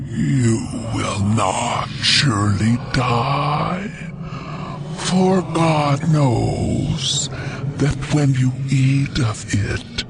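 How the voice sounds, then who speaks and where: Brief: male actor as a crafty tempting serpent, gravelly, very slow and whispery, in an audio drama over music. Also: mid-range voice, lively intonation, noisy background, heavily acted. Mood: sly tempting. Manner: slow, drawn-out whispery delivery.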